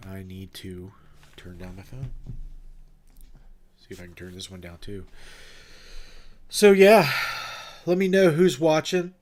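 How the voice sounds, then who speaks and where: A man's voice: medium pitch, steady tone, takes it slowly, pauses often, darkish tone, slight background noise. A man with a stuffed-up, nasal voice from a cold, chatting loosely with viewers in a livestream, rambling with fillers and restarts. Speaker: livestream host and ghost-hunting event organizer. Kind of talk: casual livestream chat with viewers.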